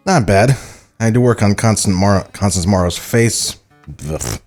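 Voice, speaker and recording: A man's voice: on the low side, normal speed, darkish tone, soft background music, lightly acted. A man at a script table read playing a friendly, casual, slightly sheepish animator.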